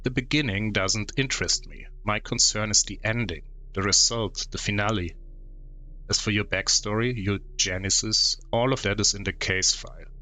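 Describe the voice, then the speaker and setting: A curt, severe committee inspector read aloud by a German-accented man, delivered flatly in a low, bright, forward voice. The lines are read from game text rather than fully acted. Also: moderate pace.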